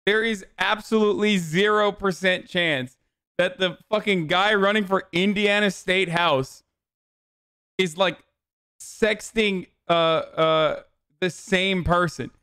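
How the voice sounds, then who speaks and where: A man's voice: high voice, fairly even intonation, slow pace, sharp tone, smooth, no echo, studio quality. A man doing sarcastic, incredulous live stream commentary, repeating himself for emphasis and swearing freely. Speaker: streamer reacting to a recorded call.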